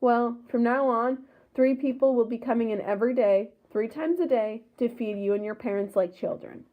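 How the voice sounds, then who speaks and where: A woman's voice: fairly high pitch, moderate pace, lightly acted. A woman reading the part of a defiant freed male slave who leads a rebellion in a storybook, emphatic and earnest. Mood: scornful. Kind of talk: storybook read-aloud dialogue. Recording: thin, phone-like sound.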